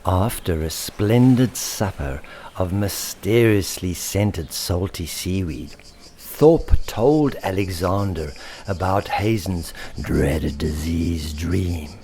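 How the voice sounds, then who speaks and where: A man narrating a rhyming children's story with theatrical, deliberate emphasis. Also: low-pitched, lively intonation, dark tone, slight background noise. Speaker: author and storyteller.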